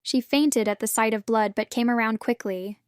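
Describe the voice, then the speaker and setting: A woman reading English example sentences slowly and clearly for a language lesson. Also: a little high, dry recording, clean studio sound.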